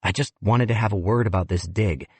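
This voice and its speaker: A man's voice: lowish voice, brisk, darkish tone, husky, no room sound. A man doing an earnest male paleontologist. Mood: earnest pleading. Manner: polite, pleading.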